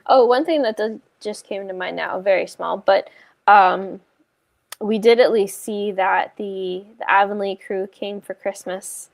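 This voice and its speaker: A woman with noticeable vocal fry and a mid-pitched voice chats casually as a book club host. She speaks in a halting, rambling way, and the sound is close and dry. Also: dry recording. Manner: hesitant, rambling, frequent "like". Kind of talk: casual book discussion chat. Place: indoors on a video call.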